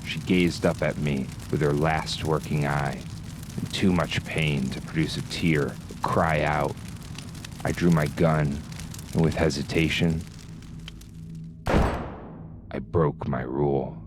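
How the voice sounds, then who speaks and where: A grim hitman acted by a man with an exceptionally deep voice tells his noir game story. He is terse and profane, brooding over his own hard code. Music plays clearly behind him. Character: brooding hitman antihero with a rigid moral code. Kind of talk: hardboiled noir game narration.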